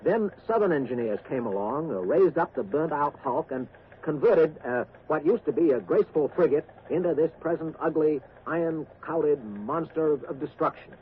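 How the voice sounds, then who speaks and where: Actor as a grave higher-voiced radio war correspondent. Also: male voice, lively intonation, moderate pace, close to an everyday voice. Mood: grave, explanatory. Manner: hesitant, emphatic, grave. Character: earnest war correspondent giving grave expert analysis. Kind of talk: 1940s radio acting as news commentary. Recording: tinny, noisy vintage radio recording.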